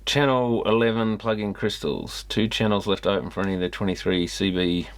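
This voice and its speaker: A man's voice: lowish voice, fairly quick, clean tone, no room sound. A man hosting a repair video, casually commenting and reading a manual aloud with muttered asides. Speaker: host of an electronics repair video channel.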